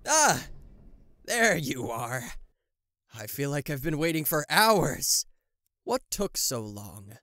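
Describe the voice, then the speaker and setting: A smug TV-headed demon executive voiced by a man purrs through an ASMR roleplay at an unhurried pace. He is slick and theatrical, with possessive intent under false politeness, in a dry, close recording. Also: on the high side, very animated, bright, forceful tone, no echo, heavily acted. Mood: smug, persuasive.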